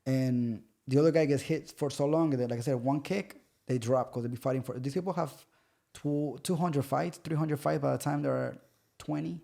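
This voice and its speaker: Gravelly guy, dark mellow tone, rambling fight stories, keeps restarting sentences. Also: medium pitch, normal speed. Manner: animated storytelling, acts out quoted voices; loose, repetitive phrasing with many restarts. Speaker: pro MMA fighter guest. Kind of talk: casual podcast chat.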